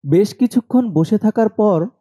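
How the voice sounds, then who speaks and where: A man's voice: high voice, expressive, moderate pace, warm tone. A man narrating a scripted horror story over background music.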